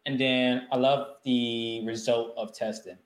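A man's voice: mid-range voice, level tone, takes it slowly, echoey room. A man hosting a casual chat, loose and playful. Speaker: host.

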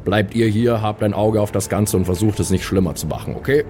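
A man's voice: on the low side, fairly quick, warm tone, a little hiss or hum. A man playing a tabletop role-playing game, talking casually in character in a dry, exasperated way.

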